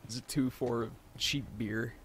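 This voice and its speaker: A man's voice: medium pitch, fairly even intonation, a little slow, slight background noise. A man co-commentating on a video game in casual chat, wry and full of jokes. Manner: wry, joking. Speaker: let's play co-commentator.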